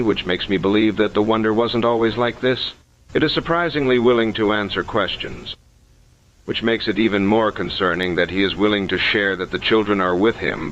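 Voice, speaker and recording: A man acts a sceptical investigator with a deep voice, dictating case notes in an analog horror story. He is deliberate and analytical, on a dull recording with faint noise behind him. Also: fairly bright tone. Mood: thoughtful, analytical. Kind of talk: scripted analog horror narration.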